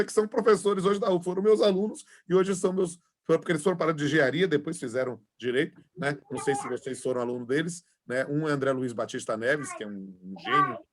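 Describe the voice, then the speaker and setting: A man in his forties with a Bahian accent, a law professor chatting informally to introduce himself to an online class over a video call, with many fillers. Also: mid-range voice. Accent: Bahian Portuguese. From Salvador, Bahia, Brazil. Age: 40s. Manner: chatty, filler-heavy, friendly. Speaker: law professor and labor judge.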